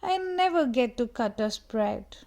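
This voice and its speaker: A woman's voice: on the high side, lively intonation, a little slow, gravelly, a little mellow. Woman doing a mopey little spoon boy. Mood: wistful. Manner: mopey, wistful complaining. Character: small sad young spoon, a boy utensil.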